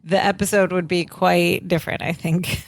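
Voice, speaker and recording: A woman's voice: fairly low pitch, steady tone, gravelly, dry recording. A woman co-hosting a podcast in playful, casual chat. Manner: playful, quick to laugh. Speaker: podcast co-host.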